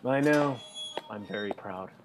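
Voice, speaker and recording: A man playing a stubborn old soldier father in a radio play, earnest and gently wry. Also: on the low side, measured pace, background noise, close to an everyday voice. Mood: wry, mellow.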